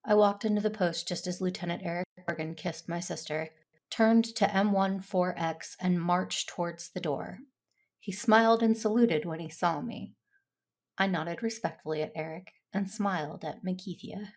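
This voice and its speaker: Woman as a creaky-voiced, reserved Jedi narrator. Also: on the low side, normal speed, darkish tone, lightly acted. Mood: serious, troubled. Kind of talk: first-person audio drama narration and dialogue.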